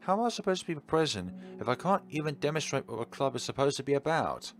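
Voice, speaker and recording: A man's voice: medium pitch, sing-song, fast, voice pushed up. A man voicing an earnest, self-doubting teenage schoolgirl club president in a visual novel, with a dramatic delivery. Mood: anxious, frustrated. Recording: music behind the voice.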